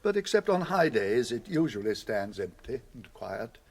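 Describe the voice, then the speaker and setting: A man's voice: mid-range voice, big pitch swings, normal speed, slight background noise. A man acting a wise old wizard, stately, kindly and commanding, in a radio drama. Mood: gentle, stately.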